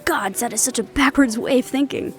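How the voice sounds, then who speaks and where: A woman's voice: high-pitched, a little fast, a bit bright, soft background music. A woman acting in an audio roleplay as a young adult daughter, defiant, loyal and argumentative, with an emotional, sarcastic edge. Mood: defensive, indignant.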